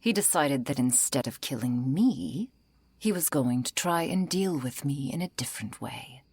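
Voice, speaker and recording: A woman acting a century-old female vampire in an ASMR roleplay, composed, politely menacing and wry, her soft breathy voice often falling into a whisper. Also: rumbling low, animated, moderate pace, no room sound, exaggerated voice. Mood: cool, resentful. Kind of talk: ASMR roleplay, intimate scripted monologue.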